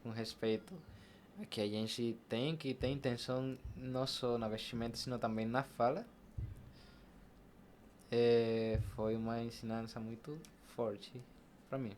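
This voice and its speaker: A young Brazilian man speaks as a podcast guest in a medium-pitched voice. He recounts personal experiences casually, slipping into quoted dialogue as he goes. There is noticeable background noise around him. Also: flat delivery, a little slow, darkish tone. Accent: Brazilian Portuguese. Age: young adult. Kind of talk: casual personal storytelling in an interview.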